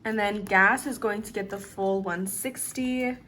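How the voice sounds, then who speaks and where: Young woman in her twenties, casual vlogger, slightly raspy and tired, relaxed chatty delivery. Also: medium pitch, unhurried, slight background noise. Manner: relaxed, chatty, uses "like" and "literally" often. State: slightly raspy from lack of sleep. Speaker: budgeting vlogger. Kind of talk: casual chat to camera.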